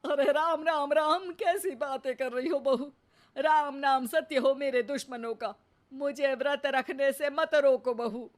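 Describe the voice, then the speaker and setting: A woman's voice: sits high, unhurried. A woman voicing a stubborn, greedy elderly mother-in-law in a cartoon, bossy and theatrical. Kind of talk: cartoon voice acting.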